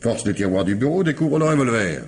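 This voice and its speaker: An actor playing a shrewd, suspicious police commissioner in an old French radio mystery, questioning and musing aloud with pointed pauses. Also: male voice, mid-range voice, big pitch swings. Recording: noisy old radio recording with ambience.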